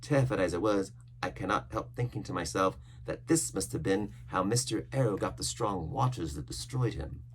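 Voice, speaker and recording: A man narrating a storybook read-along in a steady, measured way. His voice is low and gravelly with a husky edge, and his delivery stays lively in pitch. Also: slight background noise. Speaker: storybook reader.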